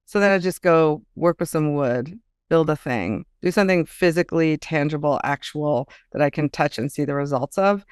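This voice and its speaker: Female author guest, smooth clear voice, animated conversational storytelling, dry close sound. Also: deep voice, normal speed, no echo. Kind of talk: casual interview chat.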